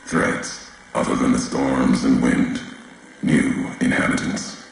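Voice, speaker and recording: Brooding male game wanderer, grim and cryptic, very heavy vocal fry, unhurried clipped delivery. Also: a bass voice, level tone, very echoey, slight background noise, exaggerated voice. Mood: watchful, grave. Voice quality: gravelly. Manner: cryptic, deliberate, clipped fragmentary phrases. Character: grim, brooding wasteland wanderer, solemn and judging. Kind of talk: video game character dialogue.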